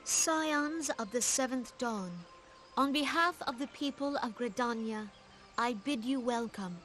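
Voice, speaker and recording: A woman playing a gentle, solemn spiritual leader in a fantasy game, speaking in stately, measured, archaic formal phrases. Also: on the high side, slight background noise. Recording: orchestral music behind the voice.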